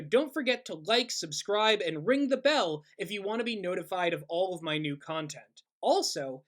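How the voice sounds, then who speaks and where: A young adult man hosting a video, reading his old teen script aloud and mocking it in a wry, animated way. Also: high-pitched, no room sound. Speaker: YouTube host. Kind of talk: comedic commentary on an old script.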